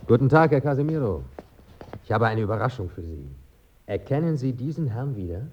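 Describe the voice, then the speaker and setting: A man's voice: medium pitch, expressive, a little fast, darkish tone, dull audio, slight background noise, natural voice. A man acting in a German radio play as a dead writer, a bantering, evasive, pleasure-loving friend who savours his words. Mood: wry, expansive.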